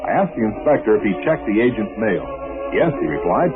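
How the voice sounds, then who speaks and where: An actor playing a confident detective who narrates his own cases in an old-time radio mystery, telling them with crisp authority. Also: fairly low pitch, sing-song, a little fast. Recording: tinny old radio recording over music.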